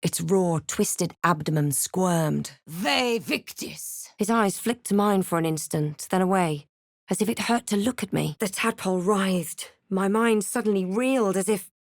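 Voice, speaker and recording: A woman playing an adventurous, thoughtful fantasy hero in a role-playing game, with a measured, literary and dramatic delivery. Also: mid-range voice, no echo, studio quality, natural voice. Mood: incanting spells. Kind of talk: scripted game voice lines and narration.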